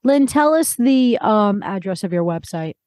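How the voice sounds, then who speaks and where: A woman's voice: medium pitch, animated, measured pace, darkish tone, no echo, studio quality. A woman hosting a casual interview in an informal, encouraging way. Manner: encouraging, informal, many hedges. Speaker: podcast host.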